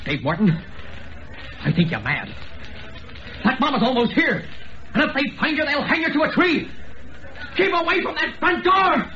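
An actor playing an agitated, exasperated friend giving urgent warnings in an old-time radio drama. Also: male voice, very high-pitched, sing-song, moderate pace, pauses often, bright, forceful tone, exaggerated voice. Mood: urgent alarm. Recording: dull, faintly noisy old radio recording.

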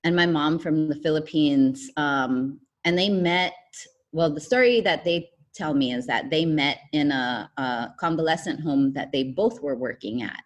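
A young woman from the United States, a guest telling her life story in a relaxed chat, with lots of "um" and "like". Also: deep voice, a little slow. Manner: rambling, many fillers like "um" and "like". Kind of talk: casual interview conversation.

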